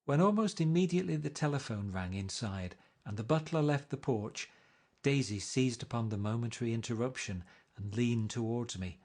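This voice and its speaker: A man's voice: medium pitch, animated, moderate pace, darkish tone. Man reading a novel aloud. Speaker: reader.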